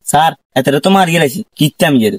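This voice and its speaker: Very fast-talking cheeky junior detective played by a man. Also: a little high, animated, heavy background noise, natural voice. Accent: regional Bangladeshi. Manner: deferential yet cheeky, addresses his boss constantly. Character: cheeky, hungry junior police detective sidekick. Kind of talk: amateur comedy sketch dialogue.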